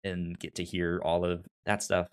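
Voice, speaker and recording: Male co-host, lower register, stutters on sounds and words, informal halting review talk. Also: level tone, smooth. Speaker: podcast co-host reviewing audio dramas. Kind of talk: casual review chat.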